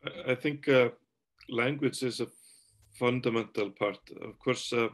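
A man in his forties, a native Icelandic speaker, talking on a video call from a room at home, thoughtful and halting with many restarts. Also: medium pitch, a bit bright. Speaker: author. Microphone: video call webcam.

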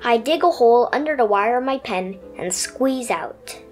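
Woman as little storybook turtle, high voice, earnest, easily amazed, expressive, music behind. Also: moderate pace, natural voice. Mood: dreamy, wondering. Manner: expressive storybook first-person telling.

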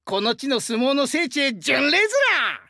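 A male actor voicing a burly, friendly young sumo-wrestler god in an anime game, with rough, hearty speech and a rural Shinshu Japanese dialect. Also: extremely high pitch, very animated, normal speed, pushed and bright, no echo, studio quality, full character voice. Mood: eager, friendly. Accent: rural central Japanese dialect (Shinshu). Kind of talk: anime game character voice lines.

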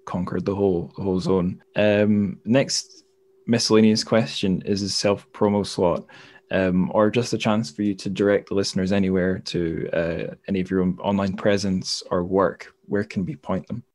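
Low-voiced man hosting a podcast. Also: flat delivery, normal speed, a little mellow. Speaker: podcast host. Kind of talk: casual interview chat.

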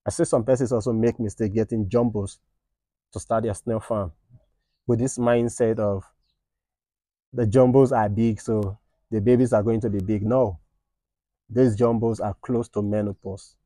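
Low, mellow-voiced man giving farm advice. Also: fairly even intonation, normal speed, pauses often, dry recording. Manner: earnest, repeats points with "right?" and "all right". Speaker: snail farmer and channel host. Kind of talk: informal farming advice to camera. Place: outdoor shed with tin roof at a snail farm. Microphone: phone camera at arm's length.

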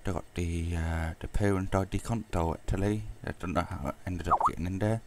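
A British man making a prank phone call, posing as a customer in a halting, deadpan way. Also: sits low. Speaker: prank caller. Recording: noisy home-recorded prank call.

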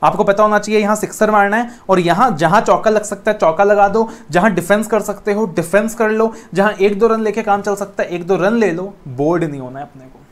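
A man's voice: high-pitched, a little fast, few pauses. Motivational Indian man teaching exam law. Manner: coaxing, motivational, mixes English phrases, gestures while talking. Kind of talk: classroom lecture to camera. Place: indoor studio room with a whiteboard screen.

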